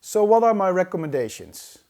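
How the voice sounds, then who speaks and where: A man giving an explanatory tutorial in a measured, didactic way, as an instructor. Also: high voice, very animated, a little mellow. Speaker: audio-video instructor.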